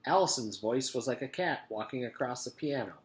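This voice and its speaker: A man, a teacher, reading a children's book aloud and pausing to explain it to his pupils. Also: mid-range voice, echoey room. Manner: expressive, pauses to explain to pupils.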